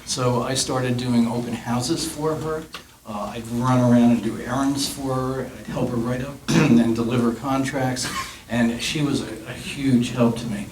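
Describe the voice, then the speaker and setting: A middle-aged man giving earnest, practical career advice as a panelist, clearing his throat now and then. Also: medium pitch, fairly even intonation, a little slow, a little mellow. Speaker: real estate panelist. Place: meeting room. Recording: echoey room, faint noise.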